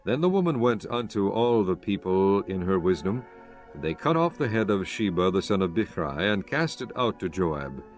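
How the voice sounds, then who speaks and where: A man narrating scripture from a script in a solemn, measured, formal manner. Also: deep voice, very animated, faint music behind.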